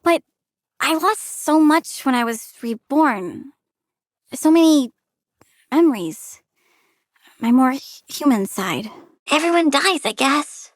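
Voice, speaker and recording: A woman's voice: sits high, lively intonation, slow pace, long pauses, no room sound, studio quality. A young woman playing a childlike plant-girl villain in a video game, playful and curious, with a blunt, bratty, cheerfully menacing way of talking. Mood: wistful.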